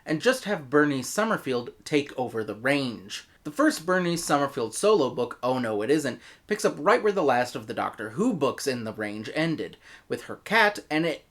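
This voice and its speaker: A man with an American accent narrating a scripted review as host, in an expressive way. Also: on the high side. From United States.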